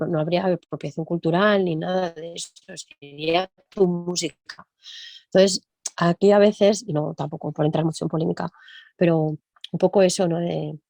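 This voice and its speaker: A woman music teacher talking in an interview, thinking aloud and often tagging her points with quick questions. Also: fairly low pitch, gravelly, dark tone, no echo. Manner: reflective, thinks aloud with frequent tag questions. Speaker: music teacher and percussionist guest. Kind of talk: conversational interview.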